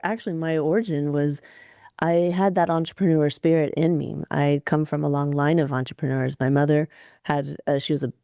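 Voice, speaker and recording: A woman's voice: low voice, steady tone, moderate pace, dark tone, no echo, clean studio sound. A woman in her forties from Atlanta, a business founder telling her story as a podcast guest, with fillers and detailed lists. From Atlanta, Georgia, USA. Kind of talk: casual interview talk.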